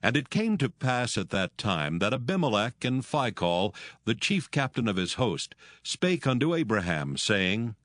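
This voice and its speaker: A man's voice: mid-range voice, very animated, unhurried, hardly any pauses, fairly bright tone, no echo, clean studio sound. A man narrating scripture as a scripted reading.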